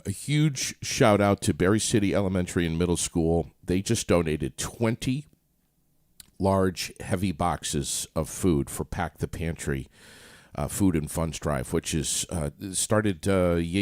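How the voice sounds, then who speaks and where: Deep-voiced chatty male radio host. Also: darkish tone, no room sound, studio quality. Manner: upbeat, chatty, many fillers. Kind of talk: casual morning radio chat.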